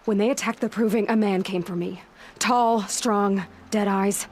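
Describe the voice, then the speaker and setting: A woman's voice: medium pitch, fairly even intonation, unhurried, darkish tone, slight background noise, close to an everyday voice. An actress voicing a determined young woman hunter who asks direct, probing questions, in a video game.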